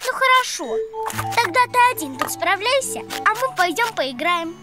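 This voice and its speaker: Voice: very high-pitched, sing-song, moderate pace. An actor voicing a friendly, sensible young calf girl in a children's cartoon, encouraging and matter-of-fact. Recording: music behind the voice.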